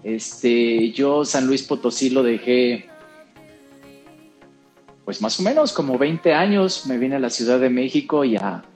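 A man's voice: mid-range voice, a little slow, faint music behind. A middle-aged man from Costa Rica, talking casually as a guest who often laughs. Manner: chatty, relaxed, laughs often. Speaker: guest, actor and presenter. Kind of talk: casual conversation.

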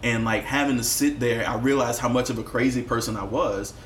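A man of medium pitch talks candidly as a podcast guest. He tells stories in a thoughtful, expressive manner and swears casually. The room adds clear echo, with faint noise behind him. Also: fairly quick. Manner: reflective, expressive storyteller who swears casually. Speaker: interview guest, musician.

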